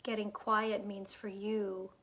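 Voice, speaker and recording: A woman leading a guided meditation in a calm, unhurried, soothing way, her soft phrases trailing off into breathiness. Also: medium pitch. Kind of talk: guided meditation, gentle instruction.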